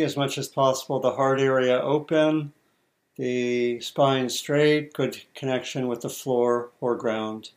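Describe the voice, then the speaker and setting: Man guiding meditation in measured phrases. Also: mid-range voice. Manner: measured, halting delivery with deliberate pauses between short phrases. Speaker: meditation teacher. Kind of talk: guided meditation instruction.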